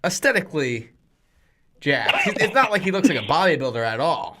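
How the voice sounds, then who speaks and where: A man's voice: on the high side, sing-song, fairly quick, a bit bright. A man in his thirties co-hosting a casual chat, joking and cursing freely, often restarting his sentences. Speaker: podcast co-host, retired fighter.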